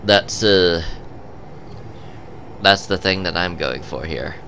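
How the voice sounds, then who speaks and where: A man giving casual gameplay commentary, halting with many ums and uhs, sniffly from a cold. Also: low-pitched, bright, forceful tone, slight background noise. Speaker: gaming channel host.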